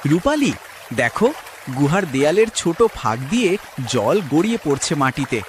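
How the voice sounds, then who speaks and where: A man's voice: on the high side, big pitch swings, normal speed, a little hiss or hum. A man playing an earnest, worried village farmer in a cartoon moral story, speaking dramatically. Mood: earnest, hopeful. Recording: loud music under the voice.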